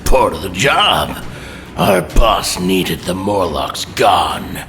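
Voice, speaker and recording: A man playing a savage, sadistic mutant villain in an audio drama, taunting with a snarling, menacing rasp. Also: deep voice, expressive, background noise. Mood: smug menace. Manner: menacing, mocking, drawn-out. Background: dramatic music.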